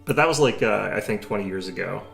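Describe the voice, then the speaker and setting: Young male streamer, low gravelly tone, rambling casual chat, soft music bed. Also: expressive, fast. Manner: rambling, many fillers and restarts.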